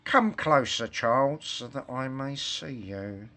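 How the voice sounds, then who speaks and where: A man's voice: medium pitch, slow, pushed and bright, voice pushed up. Man voicing a coaxing, sly storybook wolf. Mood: soft, coaxing. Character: sly, preachy male wolf, predator, easily offended.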